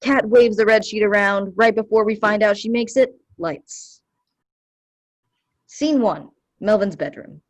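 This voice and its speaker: A woman reading stage directions as scripted narration, measured and declarative. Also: medium pitch.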